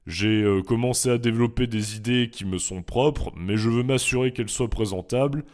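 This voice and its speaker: A young French man, a philosophy teacher and YouTuber, reading his FAQ answers in a measured, reflective way with some hesitant fillers. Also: medium pitch, darkish tone.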